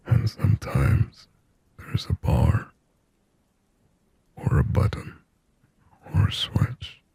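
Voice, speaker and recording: A man voicing a shy, possessive sleep paralysis demon in an ASMR roleplay, in a halting, intimate, breathy whisper. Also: no room sound, heavily acted. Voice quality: breathy, husky whisper with low gravelly creak. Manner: slow, halting, hushed, intimate.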